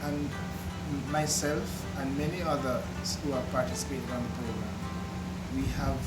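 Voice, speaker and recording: A Jamaican man, a former exchange participant, recalling his time abroad in a measured, reflective interview. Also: medium pitch. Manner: reflective, measured, with filler pauses. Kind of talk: interview testimony. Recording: echoey, distant mic, loud music behind.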